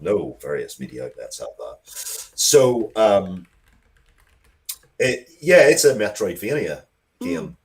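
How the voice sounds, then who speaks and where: A man with a low-pitched voice talks casually as a guest in an interview. He rambles along conversationally, interrupting himself and circling back to restart his thoughts. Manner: rambling, self-interrupting, restarts sentences often. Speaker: interview guest, comics and game writer.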